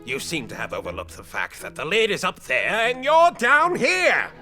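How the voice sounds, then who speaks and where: A man's voice: sits high, huge pitch swings, normal speed, sharp tone, faint music behind, heavily acted. A man playing a pompous, wordy, fretful bug scholar in a children's audio drama, with theatrical, pedantic delivery. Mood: exasperated.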